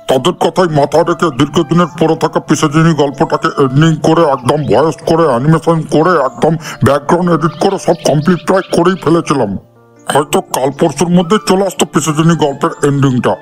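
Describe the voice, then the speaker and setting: A man voicing a bossy cartoon grandfather, highish voice. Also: lightly acted. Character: scolding old grandfather in a comedy cartoon. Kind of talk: cartoon character addressing viewers. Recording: voice over background music.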